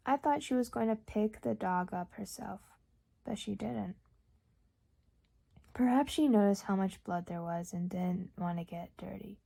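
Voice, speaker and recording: A young woman whose first language is Urdu, reading a novel aloud in a calm, measured way, dropping to near-whispers at times. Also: medium pitch, gravelly. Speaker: audiobook reader. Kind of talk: audiobook narration.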